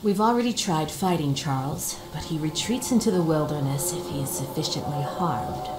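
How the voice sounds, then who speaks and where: A woman voicing a composed, solemn leader giving a formal, measured briefing in a video game. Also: deep voice, animated, background noise. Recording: roomy with music behind.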